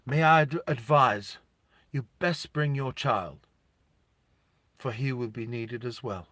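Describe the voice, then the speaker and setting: A man voices a cold bureaucrat in a dystopian tabletop story. His delivery is slow and measured, softer than normal talk, with polite, formal menace. The sound is dry. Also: mid-range voice, dry recording. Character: cold, courteous, implacable male official of a dystopian regime.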